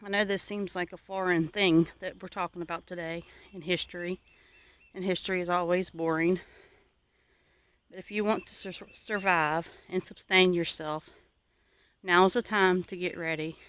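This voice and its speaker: A homesteading channel host gives viewers advice in an earnest, exhorting and deliberate way. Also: mid-range voice, fairly even intonation, a bit bright, clean tone, dry recording.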